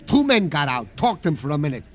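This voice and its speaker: An actor playing a flustered, earnest, simple-hearted police sergeant in an old radio drama, stammering and pleading in naively formal words. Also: male voice, fairly high pitch, very animated, brisk. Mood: earnest, upset. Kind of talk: 1950s radio drama acting.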